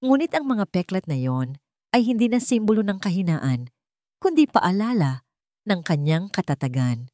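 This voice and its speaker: A woman narrating a drama story in a measured, expressive storytelling manner. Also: medium pitch, dry recording, clean studio sound.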